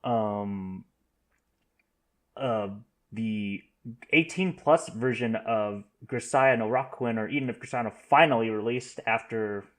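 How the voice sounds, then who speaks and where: A man hosting a podcast, casually going through news in a halting way, often correcting himself. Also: fairly low pitch. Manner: halting, self-correcting delivery. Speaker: podcast host.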